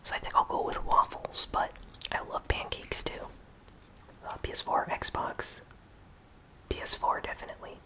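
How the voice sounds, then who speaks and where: A gaming YouTuber chatting casually in a soft whisper while reading out and answering viewer comments. The speech rambles and is filled with "like". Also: normal speed, long pauses. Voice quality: whispered, breathy, unvoiced delivery. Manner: relaxed, rambling, lots of "like" fillers.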